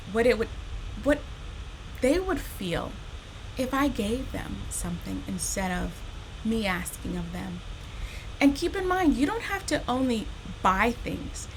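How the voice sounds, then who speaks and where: A woman reads a children's story in a bright, forward voice. She takes her time with each phrase and addresses young listeners directly, with plenty of expression. Some noise sits behind her voice. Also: fairly high pitch, low-quality recording. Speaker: storytime host reading a children's picture book.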